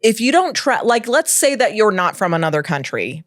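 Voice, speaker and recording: A woman from Hawaii hosts a casual podcast interview, recorded dry and close with almost no room sound. She speaks at an ordinary pitch, answers with quick "mm-hmm"s, and laughs readily. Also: very animated, no room sound. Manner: warm listener, brief affirmations, laughs readily. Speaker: podcast host.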